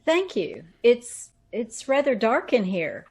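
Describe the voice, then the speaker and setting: A woman acting an anxious young woman in a radio horror play. Her voice is fairly high and swings up and down, with slow, stammering, polite hesitation. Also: big pitch swings, a little mellow, breathy edge, exaggerated voice. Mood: nervous politeness. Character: nervous, polite young woman seeking a job.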